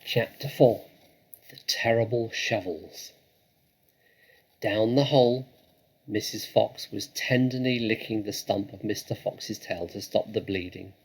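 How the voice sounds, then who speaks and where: A man reads a children's story aloud in a dramatic, expressive way. His voice is creaky and a little husky, and he draws phrases out for suspense. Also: lowish voice, a little slow, poor audio. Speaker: storybook reader.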